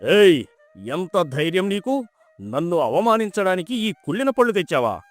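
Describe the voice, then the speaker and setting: A man's voice: high voice, big pitch swings, moderate pace, no echo, soft background music. A man playing a stern, authoritative king in a dramatised folk tale, with a commanding, declamatory manner. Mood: angry.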